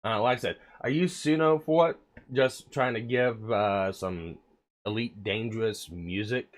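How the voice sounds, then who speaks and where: A man's voice: on the low side, a little slow. A man streaming live, chatting casually while sick with a cold and cough, rambling with many fillers and the odd swear word. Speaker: livestreamer. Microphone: desk microphone.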